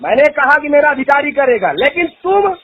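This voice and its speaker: A man's voice: very high voice, sing-song, brisk. A man speaking as a police officer on a phone call, bossy and abrupt, talking down to the caller with crude insults, recorded from a dull phone line with faint noise. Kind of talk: heated phone argument.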